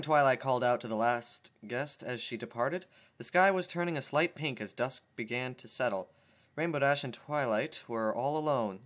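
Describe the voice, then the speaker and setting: A man's voice: mid-range voice, fairly bright tone. A man casually reading a fan story aloud and commenting on it with amusement, often stumbling over words. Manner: amused, stumbling, laughs at the text. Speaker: channel host reading fan fiction.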